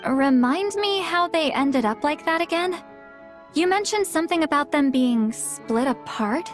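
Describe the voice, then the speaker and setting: An actress voicing a laid-back, quietly confident young woman medium in a game, with a languid, casual delivery. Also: high-pitched, lively intonation, a little slow. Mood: puzzled. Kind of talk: scripted video game character dialogue. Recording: game music behind the voice.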